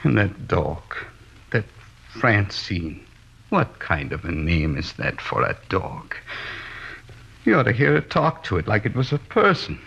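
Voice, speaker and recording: Dull old radio recording of a middle-aged American working man worn down by his wife. His low voice is plaintive and weary. He rambles and hesitates, unable to make up his mind, in 1950s radio play style. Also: big pitch swings, measured pace, creaky, fairly bright tone, a little hiss or hum, full character voice. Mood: aggrieved. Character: henpecked middle-aged plasterer, weary, plaintive, indecisive husband. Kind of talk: 1950s radio drama acting.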